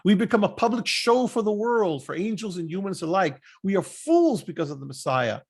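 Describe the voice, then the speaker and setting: Husky man reading scripture with lively intonation. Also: high voice, a little fast. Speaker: scripture reader. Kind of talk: expressive scripture reading aloud.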